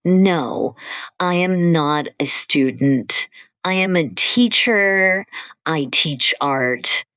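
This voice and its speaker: A woman co-hosting an English-learning podcast, speaking slowly and carefully in simple scripted sentences. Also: sits low, expressive, fairly bright tone, no echo. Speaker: podcast co-host.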